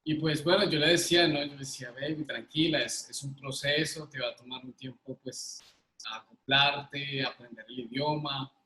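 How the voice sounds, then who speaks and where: A man in his thirties with a Colombian accent, telling personal stories casually and haltingly, often repeating words. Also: mid-range voice, fairly even intonation, pushed and bright. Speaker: interview guest. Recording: roomy, echoing sound.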